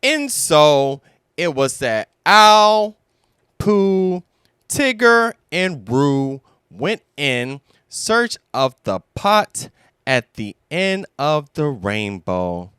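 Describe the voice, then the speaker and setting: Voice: medium pitch, very animated, extremely slow, a bit bright, clear, resonant tone, dry recording, studio quality. A storyteller reading a scripted children's story aloud.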